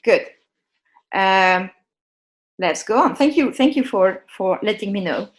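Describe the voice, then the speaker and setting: A woman's voice: mid-range voice, expressive, slow pace. Hesitant woman teaching a video-call workshop. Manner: hesitant, many ums and restarts, friendly instructional. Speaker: workshop presenter. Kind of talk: live online workshop on a shared screen.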